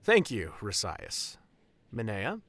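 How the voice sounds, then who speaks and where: A man playing a genial, fondly proud wedding officiant in an audio roleplay, with a theatrical, courtly and warmly formal way of speaking. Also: on the low side, wildly swooping pitch, slow, dry recording, exaggerated voice. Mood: solemn, formal.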